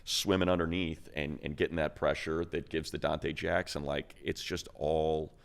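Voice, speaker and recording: Very low-pitched man, gravelly fry, emphatic delivery, sports talk co-host, conversational analysis. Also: level tone, normal speed.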